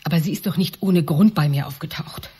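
Anxious woman, evasive, extremely breathy and husky, radio play. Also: low-pitched, brisk, dark tone. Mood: nervous, suspicious. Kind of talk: German radio drama acting.

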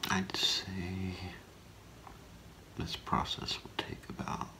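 A middle-aged man doing a whispered ASMR role play as a gemologist, speaking in a hesitant, halting, softly reassuring way. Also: sits low. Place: small indoor room with curtain.

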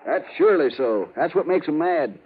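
An American actor playing a folksy, talkative buffalo hunter with rustic frontier speech in a 1950s radio western. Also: male voice, fairly high pitch, animated, normal speed, lightly acted. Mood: chatty. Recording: tinny, noisy 1950s radio recording.

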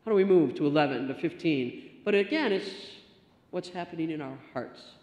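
A woman's voice: deep voice, normal speed. Middle-aged woman pastor in an echoey church. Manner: storytelling, animated gestures. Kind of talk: stewardship talk from the pulpit. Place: church sanctuary. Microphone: stand mic at lectern. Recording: echoey church hall reverb.

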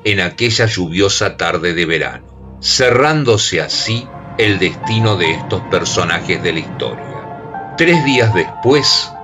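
Narrador grave de radioteatro. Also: male voice, deep voice, slow pace. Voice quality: grave, expressive storytelling. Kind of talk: scripted radio drama narration. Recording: music bed under voice.

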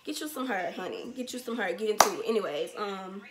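A twenty-year-old woman from Memphis with a Southern accent, talking straight to camera in an animated, slangy way and repeating herself for emphasis. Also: medium pitch, fairly quick, very noisy. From Memphis, Tennessee. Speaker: vlogger, small business owner. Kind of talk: casual Q&A vlog to camera. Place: bedroom, sitting on a bed, indoors.

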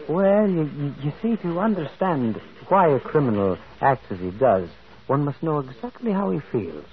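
A male actor playing a gentle, shrewd priest detective in a radio mystery, musing and hesitant, often repeating words. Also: a little high, big pitch swings, normal speed. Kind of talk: vintage radio mystery acting. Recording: dull, muffled old radio recording.

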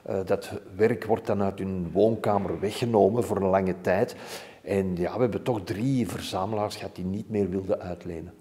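A Flemish man in his sixties speaks in a low, soft-edged, mellow voice. He explains things informatively, as a curator does in an interview. The room adds a long reverberant tail to his words. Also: steady tone, normal speed. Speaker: museum curator being interviewed. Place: indoor museum room hung with framed art.

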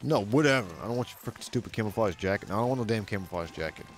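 A young man with a very deep voice casually commenting on his game, laid-back and swearing a lot. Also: expressive, racing, soft background music. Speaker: game streamer. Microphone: studio mic with bass roll-off.

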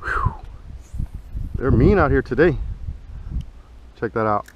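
A young man vlogging his fishing trip outdoors on a bridge, talking excitedly to viewers on an action camera. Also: mid-range voice, slow, dull audio. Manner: excitable, talks to viewers as "you guys". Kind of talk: excited casual fishing vlog. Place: outdoors on a roadside bridge over a canal. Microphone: wearable action camera. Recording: loud wind noise outdoors. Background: wind and passing trucks.

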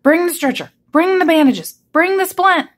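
A woman's voice: fairly high pitch, very animated, normal speed, husky. A woman voicing a bossy, alarmed male hippo in a children's storybook, exclaiming urgently. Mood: commanding.

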